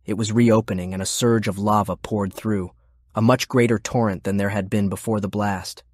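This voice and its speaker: A man's voice: on the low side, fairly even intonation, moderate pace, no echo, studio quality. A man reading scripted, dramatic narration as an audiobook narrator.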